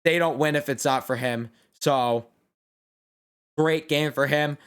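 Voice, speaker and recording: Young male host, casual and blunt, tired and a bit worn on the voice, fairly high, clean tone. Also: fairly even intonation, measured pace, fairly bright tone, smooth. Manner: blunt, opinionated, swears freely. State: tired and losing his voice. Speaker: sports reaction host. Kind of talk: casual post-game reaction.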